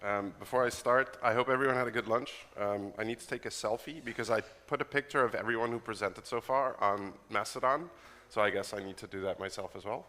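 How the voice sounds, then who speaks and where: Fast-talking American-accented man, bright voice. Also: lowish voice. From Netherlands. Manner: fast, casual, joking. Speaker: conference speaker. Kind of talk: technical conference talk. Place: conference hall. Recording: reverberant conference hall.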